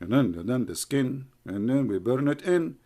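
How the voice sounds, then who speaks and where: A man's voice: mid-range voice, lively intonation, moderate pace, mellow. Scornful man. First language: Arabic. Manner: mocking, scornful, calls names. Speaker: online commentator. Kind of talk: heated argumentative commentary.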